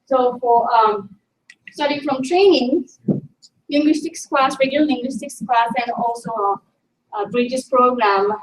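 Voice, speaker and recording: A middle-aged woman with a Japanese accent, a native Japanese speaker, giving an informal conference presentation as a linguistics teacher and often searching for words. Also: high voice. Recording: echoey room, distant mic, faint noise.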